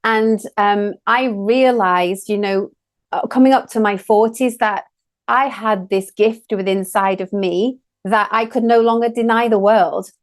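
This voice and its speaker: Woman, English accent, forties, mid-range pitch, earnest, personal conversational talk. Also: steady tone, measured pace. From England. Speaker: co-host, energy and sound healer.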